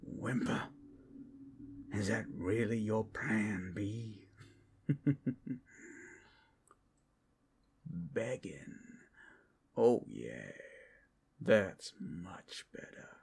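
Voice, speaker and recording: Male actor as cursed captive werewolf for audio roleplay: gravelly and taunting, low-pitched, swinging dramatically between whispers and outbursts. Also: animated, extremely slow, pauses often, a little mellow, heavily acted. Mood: predatory, mocking. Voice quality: gravelly, husky, often breaking into whispers. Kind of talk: ASMR audio roleplay acting.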